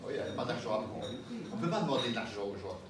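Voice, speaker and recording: Lively man answering questions in an echoey hall. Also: on the low side, racing. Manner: lively and joking, with frequent laughs and "hein". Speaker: pastafarian minister giving a talk. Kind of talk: public talk with audience questions. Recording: echoey hall with noise, mic far off.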